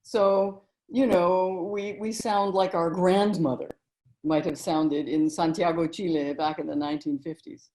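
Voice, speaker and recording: A middle-aged woman talking in a relaxed interview, telling stories and memories as the guest. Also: on the low side, unhurried, poor audio. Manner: warm, storytelling reminiscence with frequent "you know". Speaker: guest, author and narrator.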